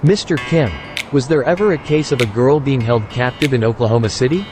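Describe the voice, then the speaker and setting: A man's voice: medium pitch, a little fast, music in the background, natural voice. A man voicing a curious, polite young university student in an audio novel. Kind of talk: scripted audio novel dialogue.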